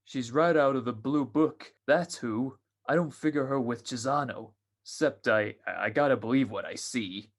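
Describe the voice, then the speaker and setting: An actor playing a greedy, none-too-bright small-time tough guy in an old-time radio crime drama, talking in streetwise slang. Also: mid-range voice, close to an everyday voice. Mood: boastful. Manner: drawn-out streetwise gangster slang.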